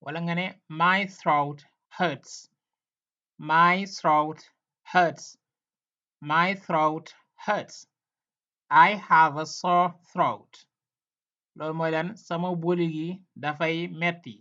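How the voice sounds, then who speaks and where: A man hosting a casual language lesson, chatting loosely with fillers and then repeating example phrases slowly and loudly. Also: a little high, fairly even intonation. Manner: slow, drawn-out, louder repetitions of example phrases. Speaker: language teacher and channel host. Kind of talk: casual language lesson, mixing English, Wolof and French. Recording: dull, lo-fi sound.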